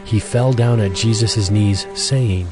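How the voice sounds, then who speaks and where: A man delivers scripted Bible narration in a fairly low voice. Music plays clearly behind his reading. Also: slow.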